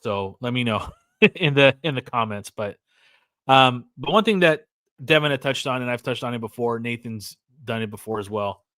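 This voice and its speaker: A man's voice: medium pitch, lively intonation, moderate pace, a bit bright, dry recording. An American man in his forties hosting a live podcast stream, chatting casually, rambling with fillers and restarts while reading out viewer comments. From California, USA. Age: 40s. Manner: rambling, lots of fillers and restarts, reads chat aloud. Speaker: podcast host. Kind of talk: casual livestream chat.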